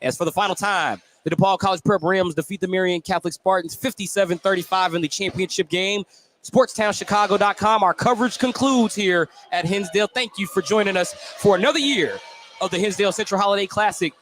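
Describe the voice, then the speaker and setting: A man's voice: high voice, a little fast, fairly bright tone, soft background music. A man hosting a live sports radio broadcast as a play-by-play announcer from a gym. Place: indoor school gymnasium. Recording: some background noise of a gymnasium.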